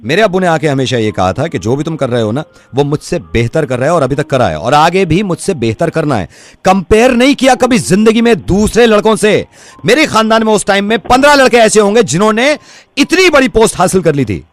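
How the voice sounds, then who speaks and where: Fast, lively radio host pleading earnestly. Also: male voice, high-pitched. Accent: Delhi Hindi. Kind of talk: on-air phone conversation.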